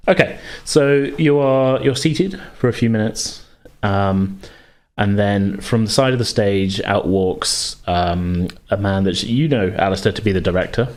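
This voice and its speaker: A man acting as game master, casually narrating a tabletop game in a halting way as he searches for words. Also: on the low side, darkish tone.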